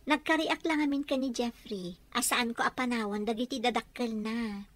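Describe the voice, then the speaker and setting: A woman's voice: on the high side, moderate pace, no room sound, close to an everyday voice. A woman playing a meek, weary, ailing young daughter-in-law in an Ilocano radio drama, with an Ilocano accent. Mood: polite, tired. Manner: submissive, weary.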